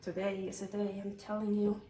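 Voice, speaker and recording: A woman's voice: medium pitch, monotone, a little fast. A woman from Switzerland with a Swiss-tinged Californian English accent, chatting casually and rambling in a self-deprecating way as she cooks in her home kitchen. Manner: rambling, self-deprecating, exclaiming as things go wrong. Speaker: cooking vlog host. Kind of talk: casual unscripted kitchen chat while cooking. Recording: roomy, distant mic, faint noise.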